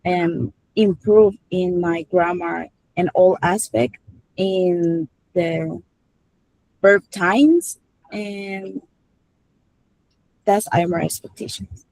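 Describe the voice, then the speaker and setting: A woman's voice: fairly low pitch, steady tone, drawn-out words, gravelly, long pauses, darkish tone, low-quality recording. A woman in her twenties from El Salvador introducing herself to her English class, searching carefully for words. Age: 20s. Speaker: student.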